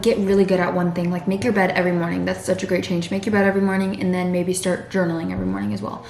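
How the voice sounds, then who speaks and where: A young woman from California chatting casually and rambling, with many fillers and restarts, while getting ready at home. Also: medium pitch. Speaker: vlogger. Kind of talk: casual chat. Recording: roomy sound with background music.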